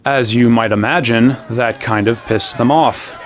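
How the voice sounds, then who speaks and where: A male actor as a young fantasy hero recounting his battles. His mid-range voice is earnest and defiant, set over clearly audible noise of crickets. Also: mid-range voice, fairly even intonation, a little slow, close to an everyday voice. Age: young adult. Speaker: first-person narrator. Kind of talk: dramatized storytelling narration.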